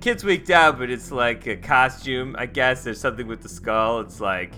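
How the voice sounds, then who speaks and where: A man's voice: mid-range voice, moderate pace, bright, forceful tone, soft background music. A man chatting casually as a player in an improvised tabletop game, slangy and thinking aloud.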